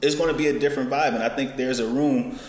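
A man's voice: mid-range voice, fairly even intonation, fast talker, darkish tone. A man in his twenties, a real estate broker, chatting casually and laughing often in an interview. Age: 20s. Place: indoor office building. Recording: echoey room with faint noise.

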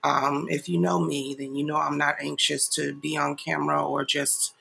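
A man's voice: a little high, little pitch movement, moderate pace, creaky, pushed and bright, husky. A man with a congested, nasal voice talks casually and informally to followers as the host of an online prayer ministry livestream. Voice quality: nasal, stuffy. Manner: informal, apologetic, chuckling asides. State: congested from a stuffy nose.

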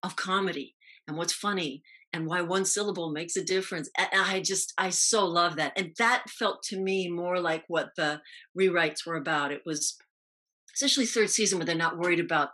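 A woman with an American accent talks as an actress being interviewed. Her voice is fairly low and bright, with a husky grain, and her phrasing is clipped and reflective. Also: normal speed. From New York, United States. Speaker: guest, actress recalling TV roles.

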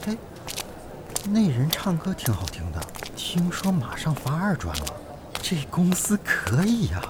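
Animated, fussy talent agent. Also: male voice, fairly high pitch, a little slow, very noisy. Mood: excited, gawking. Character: excitable, cautious male talent agent, fussing over his star. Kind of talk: Chinese audio drama acting.

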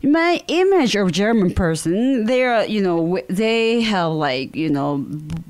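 Japanese-accented female co-host, halting English, lively pitch. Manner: halting English, frequent "you know". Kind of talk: casual chat.

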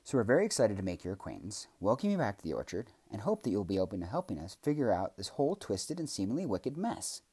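A man's voice: lowish voice, huge pitch swings, quick pace, few pauses, warm tone, husky, exaggerated voice. A man voicing a thoughtful young female tree who speaks in earnest, formal, wordy sentences.

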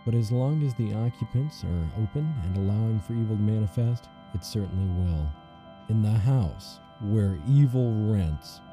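A man's voice: lowish voice, lively intonation, normal speed, music in the background. A man delivering ominous, theatrical scripted narration for a horror comedy audio drama.

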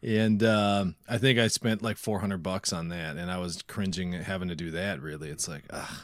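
A man's voice: low voice, steady tone, normal speed, dry recording, studio quality. A man co-hosting a podcast, chatting casually and explaining things in a conversational way. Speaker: podcast co-host. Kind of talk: casual tech and gaming podcast chat.

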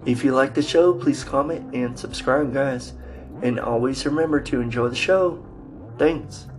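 A man hosting a podcast and introducing episodes, speaking haltingly in short broken phrases. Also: mid-range voice. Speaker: podcast host.

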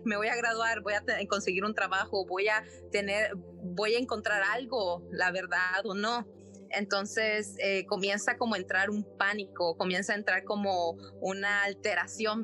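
A woman's voice: medium pitch, bright, forceful tone. A young woman from Nicaragua, a podcast guest, earnestly telling a personal story with many fillers and tag questions. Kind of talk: personal story in casual chat.

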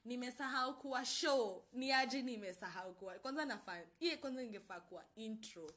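A woman's voice: a little high, brisk, a bit bright. Kenyan woman, twenties, solo podcast host; bubbly hype-girl energy, code-switching chat. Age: 20s. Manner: bubbly, hyped, mixes Swahili slang into English. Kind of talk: casual solo podcast intro.

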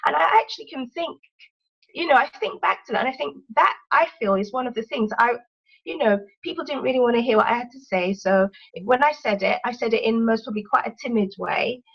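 Interview guest: soft-spoken English woman, higher voice, reflective and unhurried, lo-fi remote audio. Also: vocal fry, breathy edge. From United Kingdom. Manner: thoughtful, with many "you know" fillers.

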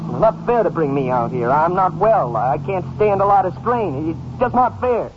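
A man's voice: sits high, big pitch swings, moderate pace, background noise. An actor playing a nervous, evasive young American man in a 1950s radio drama, whining and defensive. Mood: agitated, whining. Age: young adult. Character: petulant, evasive, nervous young male murder suspect. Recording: muffled old radio recording.

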